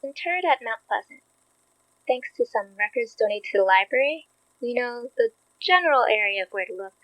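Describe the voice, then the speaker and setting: A woman's voice: fairly high pitch, lively intonation, moderate pace, fairly bright tone, no echo, poor audio. A woman acting an earnest, careful, slightly anxious researcher in a naturalistic audio drama. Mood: matter-of-fact.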